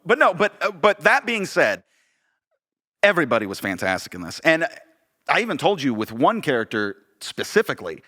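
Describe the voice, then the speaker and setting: Enthusiastic man with a bright voice. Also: fairly high pitch, wildly swooping pitch, moderate pace. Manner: enthusiastic, emphatic repetition. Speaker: podcast co-host, director. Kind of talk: casual conversational podcast chat.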